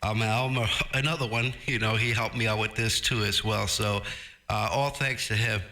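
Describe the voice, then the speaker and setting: A man's voice: fairly low pitch, steady tone, normal speed, pushed and bright, a little hiss or hum. A man in casual, agreeable radio chat, using informal operator jargon, speaking into a close, processed studio microphone. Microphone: close studio dynamic microphone with audio processing.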